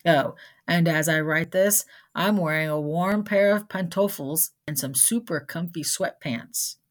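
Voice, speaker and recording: A woman's voice: low voice, unhurried. A woman hosting a vocabulary lesson, reading scripted educational narration with carefully enunciated words and conversational asides.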